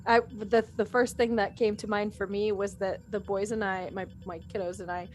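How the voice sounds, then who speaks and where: A woman's voice: mid-range voice, flat delivery, normal speed, soft background music. A middle-aged woman in a casual panel chat as a cast member answering fan questions, giggly and self-deprecating.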